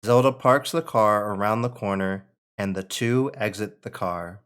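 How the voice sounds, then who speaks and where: A man's voice: fairly low pitch, unhurried, fairly bright tone, clean tone. A man reading out the stage directions and narration at a screenplay table read.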